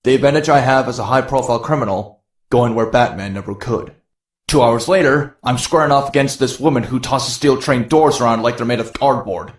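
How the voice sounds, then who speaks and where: A man's voice: medium pitch, a little fast, lightly acted. A man voicing a cocky, sardonic young masked vigilante antihero in a motion comic, full of quips. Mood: wry narration. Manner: sardonic, quippy. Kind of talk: motion comic narration and dialogue. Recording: echoey, roomy sound.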